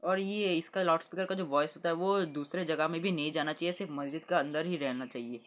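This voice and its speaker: A man with a fairly high-pitched voice and an Indian accent presents a news update. He explains things informally, moving between Hindi and English with a halting, unpolished delivery. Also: lo-fi sound. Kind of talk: explanatory news update, reading and paraphrasing reports.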